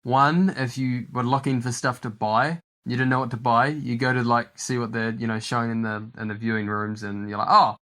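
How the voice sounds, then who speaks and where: A man's voice: medium pitch, steady tone, normal speed, hardly any pauses, fairly bright tone. A man from New Zealand hosting a podcast, talking casually in a rambling way with lots of fillers and laughter. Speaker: podcast host. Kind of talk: casual chat.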